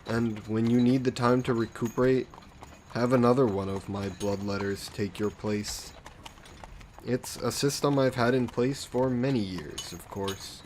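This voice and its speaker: A man's voice: mid-range voice, a little mellow, slight background noise, lightly acted. A man playing an aristocratic vampire lord in an audio roleplay, suave, smug and condescending, with a genteel, coaxing, possessive manner. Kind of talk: scripted roleplay audio drama monologue.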